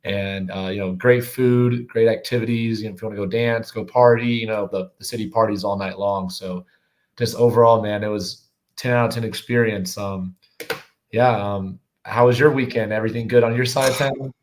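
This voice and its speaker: A man with a slightly hoarse voice from yelling, chatting casually and enthusiastically in slangy speech as a guest on a livestream. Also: lowish voice, fairly even intonation, normal speed. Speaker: guest on a live show.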